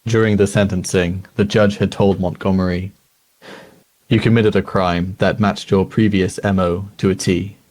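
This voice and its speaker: A man playing a sober news reader, narrating a crime documentary in a measured, grave and formal way. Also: sits low, flat delivery, darkish tone.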